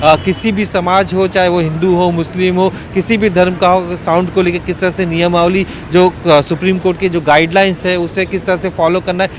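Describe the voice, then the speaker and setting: A man's voice: sits high, level tone, a little fast, few pauses, slight background noise. A man reporting live as a news correspondent, unscripted, in long running sentences broken by hesitant fillers.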